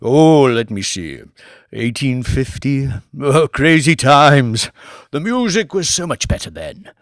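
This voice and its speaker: A man voicing an ancient, pompous aristocratic villain who drawls slowly and grandly. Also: mid-range voice, expressive, no room sound, studio quality. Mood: reminiscing, scornful.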